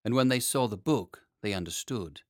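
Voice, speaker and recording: A man reading a children's story as an expressive audiobook narrator. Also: lowish voice, normal speed, dry recording, clean studio sound.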